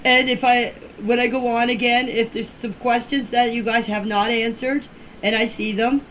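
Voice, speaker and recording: A woman's voice: fairly high pitch, fairly even intonation, normal speed, a bit bright, a little hiss or hum, rough recording. A woman in her fifties giving informal personal voice messages in a plainspoken, earnest, emphatic way, repeating herself for stress. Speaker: community member speaking about a case. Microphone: phone voice message.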